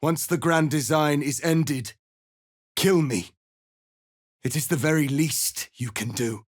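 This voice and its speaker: An actor playing a proud, stern, noble alien rebel leader in a fantasy video game, speaking formally and with deliberate, solemn authority. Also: male voice, on the high side, no echo, studio quality. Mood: solemn resolve. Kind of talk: dramatic fantasy game acting.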